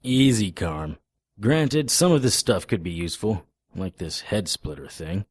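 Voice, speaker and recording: An actor playing a tough, wry occult investigator in a hardboiled radio drama, guarded and gruff in manner. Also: male voice, lowish voice, animated, unhurried, dry recording. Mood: guarded, irritated. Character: tough, wry male occult investigator, narrating his own cases.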